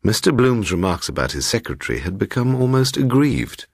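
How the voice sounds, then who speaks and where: Male audiobook narrator for literary ghost fiction: very low, creaky, with lively, expressive intonation. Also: a little fast, dry recording, studio quality. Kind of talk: dramatic first-person ghost story narration.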